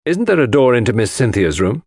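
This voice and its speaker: A man voicing a hearty, decisive country gentleman with a brisk, practical manner in an audiobook. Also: mid-range voice, big pitch swings, husky, dry recording, clean studio sound. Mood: urgent, practical.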